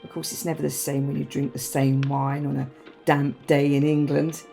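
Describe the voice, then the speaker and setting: An actress playing a wry, sharp, defiant woman in a naturalistic radio play. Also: deep voice, little pitch movement, normal speed, vocal fry, faint music behind. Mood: sociable. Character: adult woman, wry, sharp, defiant, confessing to her partner. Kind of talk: naturalistic radio play acting, dialogue and monologue.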